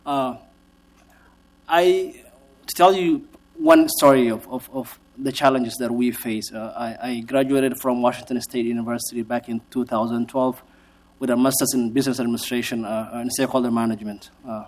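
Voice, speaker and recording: A man from Somalia, a policy advocate, giving measured, hesitant testimony to a city council with frequent filler sounds. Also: medium pitch, fairly even intonation.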